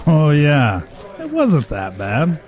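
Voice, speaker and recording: A man's voice: fairly high pitch, huge pitch swings, slow pace, darkish tone, full character voice. A man playing an easygoing, affectionate husband in an audio drama. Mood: playful.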